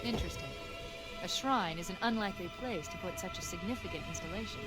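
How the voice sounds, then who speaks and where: A woman playing a composed, analytical female military artificial intelligence in a video game, briefing crisply and precisely. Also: fairly low pitch, quick pace, close to an everyday voice. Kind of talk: video game cutscene acting. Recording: loud music behind the voice. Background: loud game music, vehicle sounds.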